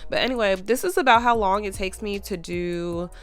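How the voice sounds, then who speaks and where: A woman's voice: mid-range voice, normal speed, soft background music. A woman with a stuffy, congested voice, chatting casually to viewers as a nail tech vlogger, with lots of fillers.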